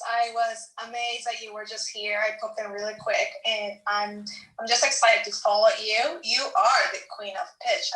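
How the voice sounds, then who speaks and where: Bright-voiced Peruvian woman, roomy and distant. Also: mid-range voice. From Peru. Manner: eager, motivational, quick bursts. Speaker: online business coach. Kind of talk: live audio room chat.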